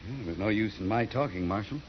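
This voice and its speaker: Man playing a low-voiced, dignified government official. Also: fast, natural voice. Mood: humbled, dignified. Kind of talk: 1950s radio drama acting. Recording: dull, faintly noisy vintage radio recording.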